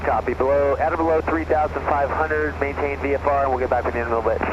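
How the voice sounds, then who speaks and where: A male pilot talks over aircraft radio with a slightly hesitant, informal delivery. The sound is dull, with clear noise behind him. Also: mid-range voice, steady tone, quick pace.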